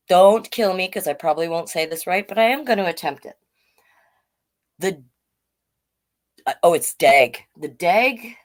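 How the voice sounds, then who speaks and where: A woman with a voice pitched a little low hosts a storytime livestream for children. She chats casually with viewers and reads facts aloud. Her delivery wanders, with asides and self-corrections along the way. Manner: chatty, easily sidetracked, self-correcting.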